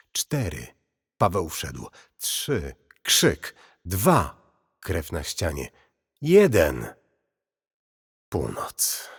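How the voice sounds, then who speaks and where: Male horror narrator: grave and unhurried, low husky voice with swinging intonation. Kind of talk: scripted first-person horror audio story narration. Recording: cavernous long reverb.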